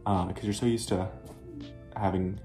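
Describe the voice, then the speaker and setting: Deep, flat-voiced young man. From North Carolina. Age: young adult. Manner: halting, many "like"s. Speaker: college freshman. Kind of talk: casual testimonial. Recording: roomy echo with faint music.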